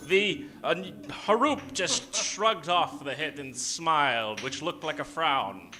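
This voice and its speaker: A man narrating an improvised comedy in old-time radio melodrama style, with dramatic, deliberate pauses. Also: a little high, expressive.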